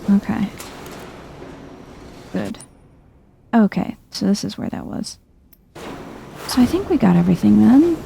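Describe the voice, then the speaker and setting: A soft-spoken woman giving chill, dryly wry ASMR-style commentary while playing a video game. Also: medium pitch, very animated, a little slow, vocal fry, long pauses, slight background noise.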